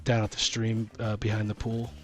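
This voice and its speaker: A man's voice: lowish voice, completely flat delivery, fairly quick. A man co-hosting a casual podcast about tabletop gaming, talking as a dungeon master in a rambling way, thinking aloud. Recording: music playing behind the talk. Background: music.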